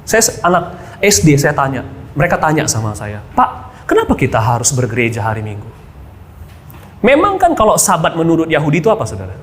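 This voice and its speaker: A man's voice: fairly high pitch, slight background noise. A male pastor preaching a sermon in a church hall, his voice rising and falling widely from one phrase to the next. He keeps turning to the congregation with rhetorical questions. A long reverb trails every phrase. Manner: addresses the congregation constantly, asks rhetorical questions.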